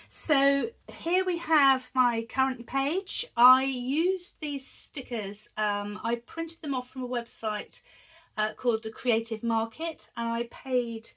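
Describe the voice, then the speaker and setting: A woman's voice: high voice, bright, forceful tone. A woman in her fifties, slightly wheezy from a chest infection, chatting casually and giggling as she shows her planners. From United Kingdom. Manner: chatty, giggly, with many ums. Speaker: planner vlogger. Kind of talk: casual show-and-tell chat.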